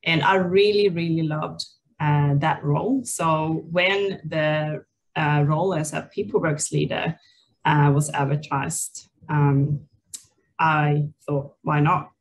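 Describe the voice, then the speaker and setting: Lower-pitched Swedish woman, reflective and hesitant. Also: fairly even intonation, slow, poor audio. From Sweden. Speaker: interview guest, people and culture leader. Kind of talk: conversational personal storytelling. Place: indoors, on a video call.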